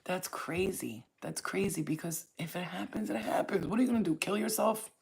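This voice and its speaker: A woman speaks in a low, husky voice, loose and casual. She talks straight to her viewers as a spiritual reader, blunt and preachy, swearing freely and never softening her point. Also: a little fast. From Staten Island, New York. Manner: blunt, profane, preachy, streetwise. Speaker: spiritual reader and channel host. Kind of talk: casual talk to camera.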